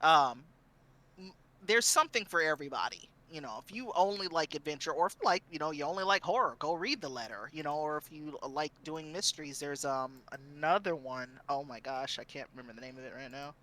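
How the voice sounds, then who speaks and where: A man chatting casually as a streamer on a panel, acting out reactions in an animated way. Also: medium pitch, a little fast.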